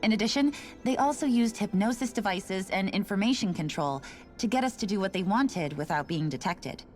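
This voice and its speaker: A woman's voice: mid-range voice, animated, a little fast, a little mellow, close to an everyday voice. A woman playing an offhand station worker. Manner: casual, offhand, matter-of-fact. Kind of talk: video game voice acting. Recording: game audio with faint music.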